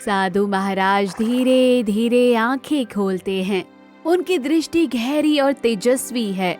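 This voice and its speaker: Woman reading out a kids story, high voice, really slow pace, bit of music behind. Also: darkish tone. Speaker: storyteller narrator. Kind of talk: scripted children's story narration.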